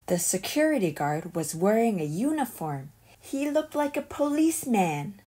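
A woman reading a children's picture book aloud as a storyteller, in an expressive and deliberate way. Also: medium pitch, husky.

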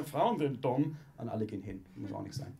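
A man's voice: mid-range voice, big pitch swings, vocal fry, mellow. A young man, a guest comedian, chatting on a small living-room stage before an audience with a handheld mic, wry and self-mocking. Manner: quick, wry and self-mocking, acts out quoted voices. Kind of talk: casual onstage chat.

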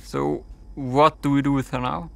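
A man's voice: medium pitch, little pitch movement, moderate pace, gravelly, a bit bright. A man acting a reasonable, doubting crew member in an audio drama, questioning and reasoning aloud. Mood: reproachful.

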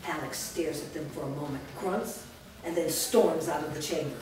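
Woman narrating a script at a staged reading, far from the mic, clear background noise. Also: deep voice, normal speed, very echoey. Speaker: stage directions reader.

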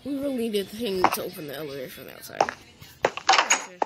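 A woman's voice: medium pitch, big pitch swings, fairly quick. A woman rambling to herself in improvised roleplay and commentary as she plays a video game, like someone making gaming videos. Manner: rambling, talking to self while playing. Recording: noisy phone screen recording, clicks.

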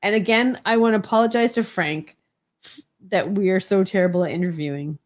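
A woman's voice: medium pitch, brisk. A sniffly middle-aged woman, chatting casually and teasingly as she co-hosts and reads out comments. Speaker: livestream co-host reading chat comments. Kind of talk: casual chat.

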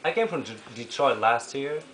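A middle-aged man from Japan with a Japanese accent, giving a personal testimony to a small group at a home meeting, pausing often and clearing his throat. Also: medium pitch, animated, fairly bright tone. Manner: halting, with frequent throat-clearing and filler pauses. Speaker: member sharing a testimony. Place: living room at a home gathering. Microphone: camera across the room. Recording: echoey room with background noise.

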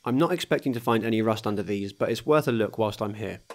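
A man reading a scripted voice-over for his own car restoration video, calmly explaining each workshop step. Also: fairly low pitch, quick pace, a little hiss or hum. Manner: steady, matter-of-fact explaining of workshop steps. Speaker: host of a car restoration channel.

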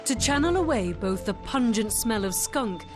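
A woman, a reporter, reading scripted documentary narration in a measured voice-over. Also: on the high side, lively intonation. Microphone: studio voice-over. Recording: voice-over over music.

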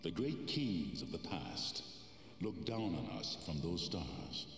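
An actor playing a wise ghostly lion king and father, speaking gravely and solemnly. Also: sits low, very animated, takes it slowly, a bit bright, faint music behind, heavily acted. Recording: roomy distant reverb.

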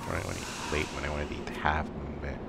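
Guy doing chill gameplay commentary, really low voice, swears a bit, very noisy recording. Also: steady tone, fast talker, gravelly. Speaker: let's player.

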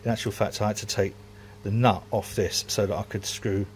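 A man's voice: on the low side, level tone, brisk, noisy background. A man, rambling casually and self-mockingly while filming his home brewery workshop on a handheld phone.

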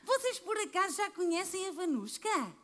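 A woman's voice: very high-pitched, big pitch swings, moderate pace, noisy background. A woman children's entertainer on an outdoor stage, talking in an exaggerated, sing-song way. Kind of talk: playful stage show for kids.

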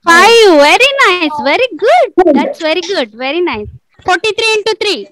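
A woman teaching an online abacus class, calling out sums in a brisk rhythm and praising each answer. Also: extremely high pitch, very animated, a bit bright, slight background noise. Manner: brisk, encouraging, repetitive praise. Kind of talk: calling out mental arithmetic drills.

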